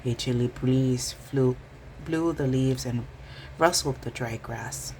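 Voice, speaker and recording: A woman reading a children's bedtime story aloud in an expressive storytelling style. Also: rumbling low, takes it slowly, a little hiss or hum, low-quality recording.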